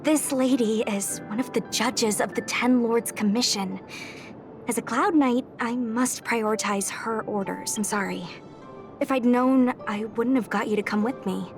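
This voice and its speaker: A woman's voice: a little high, measured pace. An actress playing an eager, earnest and polite young female knight in a video game, a little hesitant and apologetic. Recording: game music behind the voice.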